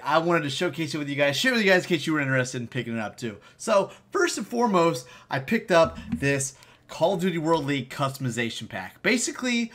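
An American man in his thirties with a fairly high-pitched voice. He talks in an upbeat, slangy way, as in a casual gaming vlog recorded at home. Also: a bit bright. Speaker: gaming video creator.

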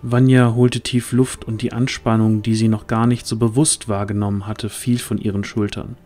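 A man's voice: on the low side, flat delivery, moderate pace, dark tone, soft background music. A man reading scripted narration for a fantasy audio drama.

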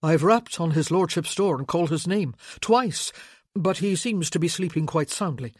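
A woman's voice: lowish voice, animated, moderate pace, slightly rough, no room sound, clean studio sound. A woman voicing a proper, dutiful male valet in an audiobook, formal and polite. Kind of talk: audiobook character acting.

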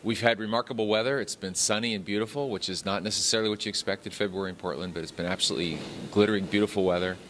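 A man's voice: fairly low pitch, rapid-fire, hardly any pauses, fairly bright tone, a little hiss or hum. A man in his fifties, a conference attendee, chatting enthusiastically to an interviewer in a hotel lobby. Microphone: handheld interviewer mic.

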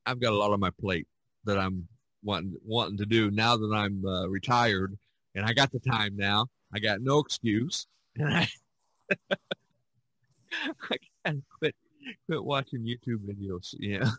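An older man in his sixties chats as a podcast host in a fairly low voice. He speaks haltingly, circling back over phrases, in a dry, close recording. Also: bright, forceful tone, dry recording. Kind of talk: casual conversation.

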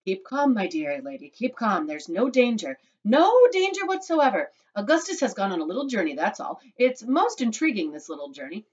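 A woman's voice: mid-range voice, big pitch swings, normal speed, hardly any pauses, a little mellow, lo-fi sound, voice pushed up, close to an everyday voice. A woman voicing an eccentric, gleeful male chocolate-factory owner in a storybook, breezy, emphatic and dismissive. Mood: breezy reassurance.

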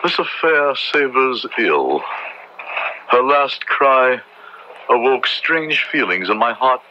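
An actor playing a solemn, conscientious Puritan minister with measured, formal diction in an old-time radio drama. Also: male voice, medium pitch, big pitch swings, bright, forceful tone, full character voice. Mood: grave, troubled. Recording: dull, noisy old radio drama recording.

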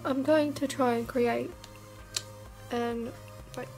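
A young woman, a beauty vlogger, chatting casually through a makeup test in her bedroom; she sounds croaky and stuffed up from a cold and rambles with self-mocking asides. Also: fairly high pitch, fairly even intonation, takes it slowly, darkish tone, soft background music. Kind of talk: casual makeup tutorial chat. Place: bedroom, indoors. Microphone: camera mic at arm's length.

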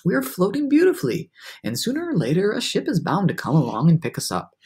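A performer voicing a calm, patient young boy who speaks reassuringly in a children's storybook. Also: mid-range voice, fast talker, breathy edge, voice pushed up, heavily acted. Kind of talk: children's storybook read-aloud.

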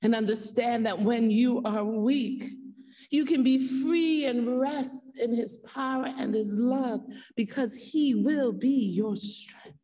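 High-pitched woman preaching in echoing hall. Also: steady tone, warm tone. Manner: earnest, exhorting, with repeated phrases and emphatic pauses. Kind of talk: sermon. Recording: echoey hall, distant from the mic.

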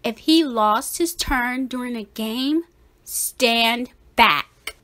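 A woman reading a children's picture book aloud in a deliberate, expressive manner. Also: fairly high pitch. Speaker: storybook reader.

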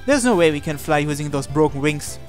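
A man's voice: fairly high pitch, lively intonation, brisk. A man reading visual novel narration and dialogue aloud as a gaming video host. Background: game music.

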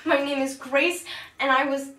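A young woman playing a halting traumatized student. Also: high-pitched, fairly even intonation, a bit bright, reverberant, far from the mic, a little hiss or hum. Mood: halting, rehearsing. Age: young adult. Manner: halting, repeating herself, rehearsing.

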